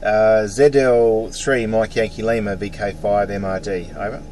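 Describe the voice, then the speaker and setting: A man's voice: lowish voice, steady tone, measured pace, heavy background noise. A middle-aged Australian man in a casual ham radio chat, matey in his phrasing and practised with phonetic call signs. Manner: mate-peppered, spells call signs phonetically. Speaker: amateur radio operator.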